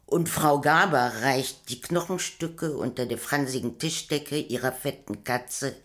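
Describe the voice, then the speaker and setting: A woman narrating a poetic radio play from a script in a measured, deliberate way. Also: rumbling low, fairly bright tone.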